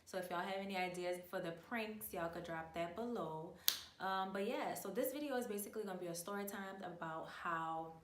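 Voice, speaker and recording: A woman's voice: fairly low pitch, a little fast, a little hiss or hum. A woman in her twenties from New Orleans with a New Orleans accent, chatting casually to camera, full of slang and fillers. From New Orleans, USA. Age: 20s. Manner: chatty, animated, uses lots of slang and filler. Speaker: vlogger. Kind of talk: casual storytime chat. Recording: echoey room, mic at a distance.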